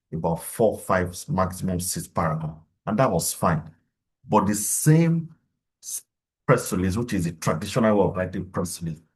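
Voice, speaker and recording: Man, middle-aged, deep husky voice, webinar speaker who pauses and restarts. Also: darkish tone. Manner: measured, explanatory, with frequent fillers and restarts. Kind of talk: webinar talk.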